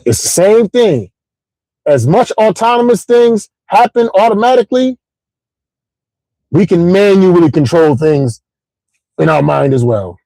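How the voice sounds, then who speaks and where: A middle-aged man hosting a casual livestream, rambling in an animated, motivational way with lots of repeats and "you know what I'm saying". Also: high-pitched, a little slow, vocal fry, pauses often, dry recording, low-quality recording. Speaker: host.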